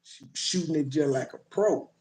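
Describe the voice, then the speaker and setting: A man's voice: on the high side, moderate pace, darkish tone, roomy sound. A man hosting a livestream, rambling and profane as he chats with viewers and reacts to clips. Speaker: livestream host. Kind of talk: casual live commentary.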